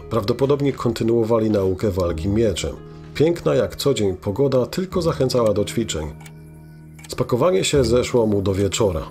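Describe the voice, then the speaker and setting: A man narrating a scripted fantasy audio drama in a measured, dramatic storytelling manner. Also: on the low side, a little mellow.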